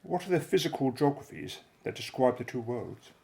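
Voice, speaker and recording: A man playing an inquisitive, level-headed expedition member in an audio drama, measured and formal. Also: mid-range voice, big pitch swings, gravelly, a little mellow. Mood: inquiring.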